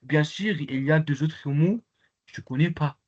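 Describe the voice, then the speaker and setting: Halting man teaching over a dull call. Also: on the high side, darkish tone. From Comoros. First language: Arabic. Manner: repetitive, halting, full of fillers. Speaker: Arabic teacher. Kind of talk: online class lecture. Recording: dull lo-fi online call audio.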